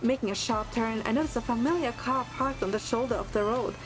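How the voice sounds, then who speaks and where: Game story lead: woman as earnest, curious young recruit, higher voice, first-person narration, music underneath. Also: fairly quick, lightly acted. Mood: composed.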